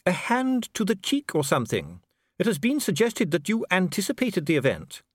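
A man voicing a calm, coldly polite dwarf official. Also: high-pitched, dry recording, clean studio sound, pitched above the performer's own voice, exaggerated voice. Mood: coolly polite. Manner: measured, bureaucratic, smoothly courteous. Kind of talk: audiobook character voice.

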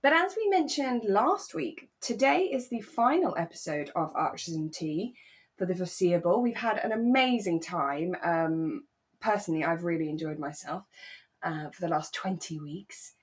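A woman's voice: on the low side, expressive, moderate pace. A British woman co-hosting a podcast, chatting casually and informally as she recaps a radio drama. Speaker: podcast co-host.

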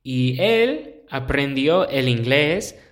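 Slow, hesitant young man teaching. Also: mid-range voice, animated. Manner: slow, hesitant, pauses to search for words. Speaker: podcast host. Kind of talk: solo podcast explaining to learners.

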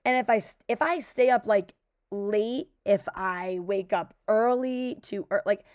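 A young woman with a raspy voice, co-hosting a podcast in casual, chatty talk full of fillers. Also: medium pitch, measured pace, darkish tone, no room sound, studio quality. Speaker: podcast co-host. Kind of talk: casual chat.

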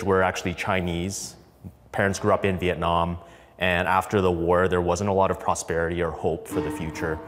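A man, telling a personal story in an interview with conversational, halting phrasing that often repeats words. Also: sits low, big-room sound. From Canada, raised in Calgary from infancy. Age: 30s. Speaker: podcast guest, business co-founder.

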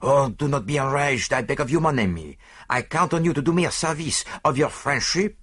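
Fussy vain belgian detective for an audiobook, french-type accent, bit raspy, pitch all over the place, precise and showy. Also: male voice, expressive, fairly quick, a bit bright. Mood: proud, fussy. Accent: French-speaking Belgian. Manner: precise, self-satisfied, theatrical delivery. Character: vain, theatrical, fussy middle-aged Belgian male detective. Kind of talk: audiobook character dialogue.

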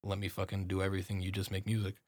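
Fast-talking loud young man. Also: low voice, monotone, dry recording, clean studio sound. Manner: loud voice, heavy swearing, slangy. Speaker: podcast co-host. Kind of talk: casual chat.

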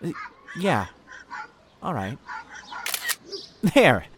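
A man's voice: on the high side, big pitch swings, drawn-out words, noisy background, exaggerated voice. An actor playing a carefree, caring young man in an audio drama, with a hesitant, earnest way of talking. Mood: upbeat. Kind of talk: naturalistic audio drama dialogue.

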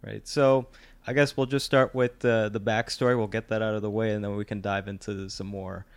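A man's voice: on the low side, little pitch movement, fairly quick, clean tone. A man hosting a podcast in an easygoing, chatty way. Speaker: podcast host.

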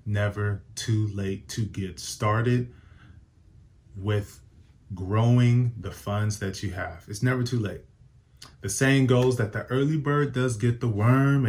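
Male host, fairly low voice, casual and emphatic, prone to restarts. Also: a little mellow. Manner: upbeat, emphatic, repeats and corrects himself. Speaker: personal finance show host. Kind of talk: casual advice talk to camera.

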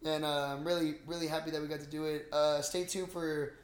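Echoey, higher-pitched young man. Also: a little fast. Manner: slangy, excitable, says bro and dude often. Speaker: podcast co-host. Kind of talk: casual chat about geek movies. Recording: roomy, echoey sound.

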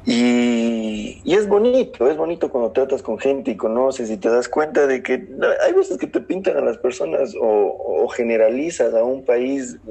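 A man's voice: mid-range voice, big pitch swings, measured pace, creaky, few pauses, warm tone, breathy edge, low-quality recording. A young man from Ecuador with a southern Ecuadorian Spanish accent, a guest in a casual podcast conversation who explains earnestly with many examples. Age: young adult. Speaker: guest economist.

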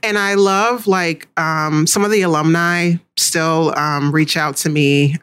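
A woman's voice: low voice, steady tone, slow, fairly bright tone, smooth, no echo. A woman who works in student success, talking enthusiastically in a casual interview.

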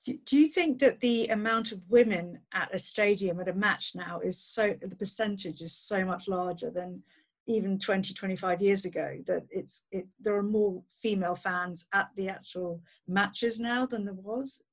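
A woman's voice: mid-range voice, moderate pace. Woman host asking a question, stops and restarts a lot, mid voice.

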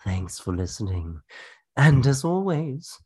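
A man's voice: medium pitch, big pitch swings, darkish tone, slightly rough, exaggerated voice. A man playing a spooky, theatrical Halloween radio dramatist, drawing out his words for a podcast sign-off. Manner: theatrical, drawn-out delivery.

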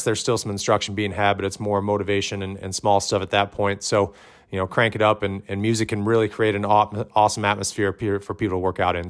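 A man with a lowish, loud voice giving relaxed podcast advice to fellow gym coaches. He rambles a little, with plenty of ums and uhs, and sounds like an experienced coach in his thirties. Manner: conversational, many fillers, says his voice is loud. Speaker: fitness coach. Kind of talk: instructional podcast talk.

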